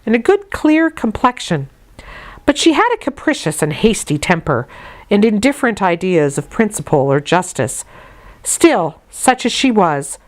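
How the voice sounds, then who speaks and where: A woman reading a classic novel aloud as an audiobook, in a measured, deliberate storytelling manner. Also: mid-range voice. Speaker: audiobook reader. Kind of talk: scripted narration of a classic novel, read aloud.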